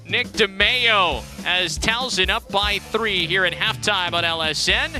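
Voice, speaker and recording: A man doing play-by-play commentary on a college lacrosse broadcast, with brisk calls of the action. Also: sits high, music in the background. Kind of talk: sports commentary.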